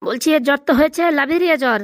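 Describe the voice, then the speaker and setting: A woman's voice: high-pitched, racing, no room sound, clean studio sound, close to an everyday voice. A woman voicing a brash village doctor in a comic cartoon, boastful and emphatic. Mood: knowing.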